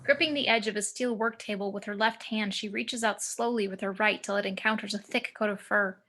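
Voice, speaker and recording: A woman narrates screenplay action in a scripted table-read style. Her voice is mid-range and notably bright and forward. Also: medium pitch, steady tone, fairly quick.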